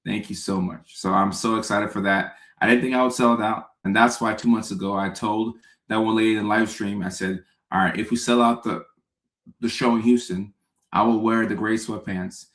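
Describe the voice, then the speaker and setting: A man's voice: lowish voice, steady tone, normal speed, a bit bright. Upbeat man chatting on a live stream. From Ghana. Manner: upbeat, greets viewers by name, repeats phrases. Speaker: comedian hosting a live stream. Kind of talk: casual live-stream chat reading viewer comments. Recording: echoey room.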